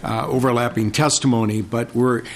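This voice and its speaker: A man's voice: medium pitch, fairly even intonation, moderate pace. A man with a mid-range voice chairs a legislative hearing, laying out the order of business in a polite, procedural tone. A faint background hiss runs under his voice at the microphone. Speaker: committee chair.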